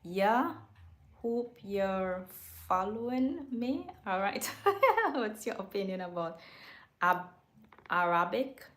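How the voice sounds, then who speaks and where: A woman's voice: medium pitch, sing-song, slow pace, fairly bright tone. Woman host, casual livestream chat, candid and rambling, echoey home studio. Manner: rambling and candid, with frequent self-corrections.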